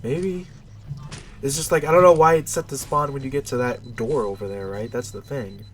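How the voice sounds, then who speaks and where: A man's voice: medium pitch, normal speed. A man with a stuffy, nasal voice giving casual, rambling, unscripted commentary while playing a video game. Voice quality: nasal, congested. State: stuffy nose.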